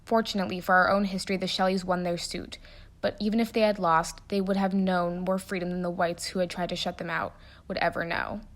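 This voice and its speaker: Woman reading a speech, slightly low pitch. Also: level tone. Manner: deliberate, emphatic phrasing. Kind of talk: dramatic reading of a commemorative speech.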